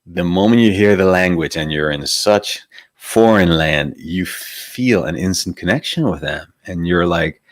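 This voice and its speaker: Male meditation host, very low pitch, dry sound, reflective teaching tone. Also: unhurried, dry recording. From Venezuela. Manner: reflective, asks rhetorical questions, often adds "right?". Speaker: meditation teacher hosting a live stream. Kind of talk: conversational spiritual teaching.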